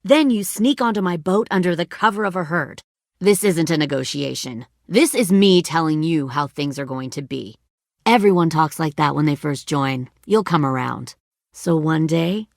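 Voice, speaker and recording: A woman's voice: low voice, gravelly, dry recording, clean studio sound, full character voice. A woman playing a cold, menacing middle-aged commander of armed survivors in a dramatic video game. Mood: coolly threatening.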